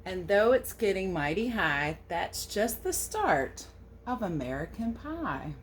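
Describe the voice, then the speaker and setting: A woman teacher reading a picture book aloud to children, expressive and sing-song, with casual questioning asides. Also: mid-range voice, takes it slowly, slight background noise. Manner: animated, questioning, sing-song verse delivery.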